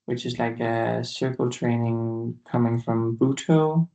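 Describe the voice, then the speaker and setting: A man's voice: medium pitch, slow pace, low-quality recording. A young man from Denmark, a dance student, talking casually and hesitantly on a video call. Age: young adult. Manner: relaxed, hesitant with fillers. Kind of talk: casual chat.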